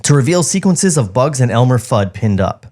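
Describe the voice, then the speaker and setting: A male podcast co-host reading a script in a studio, with a medium-pitched voice. He moves between narration and casual chat, over background music. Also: sing-song, normal speed, a little mellow.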